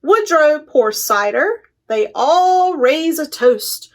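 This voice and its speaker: A woman hosts a children's storytime in a high-pitched voice. She reads at a slow, deliberate pace, leaning playfully on key words for dramatic effect. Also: animated. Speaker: children's librarian, storytime host. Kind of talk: expressive picture-book read-aloud for children.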